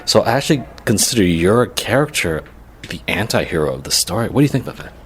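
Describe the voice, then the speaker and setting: A man radio host asking his guests questions in a quick, lively way. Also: fairly low pitch, a little hiss or hum. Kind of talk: radio interview.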